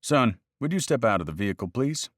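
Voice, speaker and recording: A man's voice: medium pitch, sing-song, brisk, dry recording, clean studio sound, pitched above the performer's own voice, natural voice. A man voicing a stern, officious male game warden in a read-aloud story, clipped and addressing people as son.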